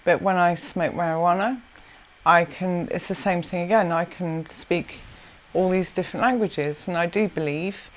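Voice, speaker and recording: Woman, lowish voice, rambling answers, restarts, soft laughs. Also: normal speed, low-quality recording. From South Africa. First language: Zulu. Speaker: interviewee. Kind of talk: casual interview answers.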